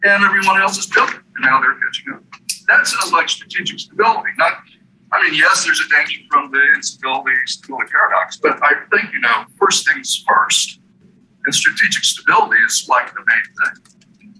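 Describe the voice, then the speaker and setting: A man in a seminar audience putting an informal academic question in a halting, argumentative way, picked up by a distant mic. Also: a little high, big pitch swings, sharp tone. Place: seminar room, away from mic. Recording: distant mic, faint room noise.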